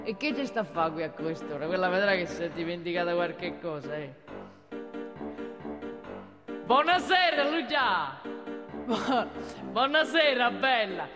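A woman acts out an older Roman security guard, a man pleading in exasperated, colloquial speech. The voice drops low and swings about, in a very reverberant theatre hall. It is comic stage acting. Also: very animated, moderate pace, over music. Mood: surprised, coaxing.

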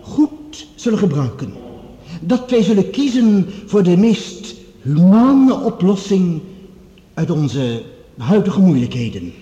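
A very dark, mellow-voiced professor in a radio play. He is smooth and calculating, choosing formal, oratorical phrases. His voice rings in a roomy space. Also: sits high, sing-song, slow pace, highs cut off, full character voice. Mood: solemn oratory. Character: smooth, calculating male professor, grandly formal rival scientist. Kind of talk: radio drama acting.

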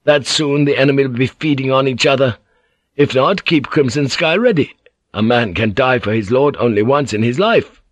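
Man playing a gruff one-eyed old soldier, plain talk, pitched up from the narrator, dry close sound. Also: expressive, moderate pace, no echo, lightly acted. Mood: blunt. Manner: blunt, plain-spoken. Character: weathered one-eyed male samurai soldier.

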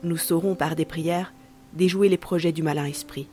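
A man voicing a pious young country woman, gentle yet resolute, with earnest delivery in a storybook tale. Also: fairly high pitch, fairly even intonation, moderate pace, soft background music, voice pushed up, lightly acted. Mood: earnest, consoling.

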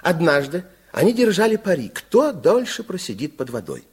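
A man's voice: fairly high pitch, sing-song, moderate pace, breathy edge, full character voice. A man narrating a radio fairy tale with theatrical, dramatic storytelling.

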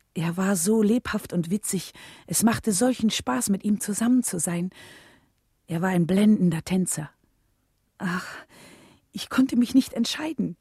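Mellow, husky, reflective wife. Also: female voice, mid-range voice, dry recording, clean studio sound. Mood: fond. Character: reflective, devoted wife recalling her husband. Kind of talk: German radio drama narration.

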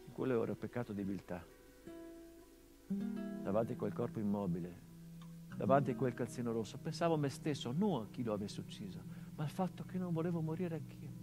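A middle-aged actor playing a grieving son whose father was murdered, speaking a theatrical monologue in a measured, grave, introspective way. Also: medium pitch, lively intonation, music in the background, lightly acted.

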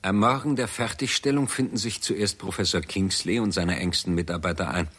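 A man giving sober, measured scripted narration for a 1960s German radio drama. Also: deep voice, steady tone, a bit bright, breathy edge.

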